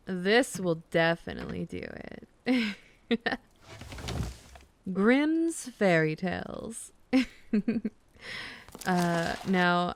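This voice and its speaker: A woman speaking softly and soothingly in an intimate, gently teasing ASMR bedtime roleplay, close to the microphone. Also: medium pitch, gravelly, slight background noise. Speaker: ASMR roleplay performer playing a girlfriend. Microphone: close microphone, dry sound.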